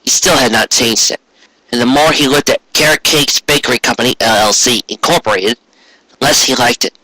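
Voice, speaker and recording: A man's voice: mid-range voice, brisk, sharp tone, no room sound, low-quality recording. A man reading a scripted story aloud as an audiobook narrator. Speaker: audiobook reader.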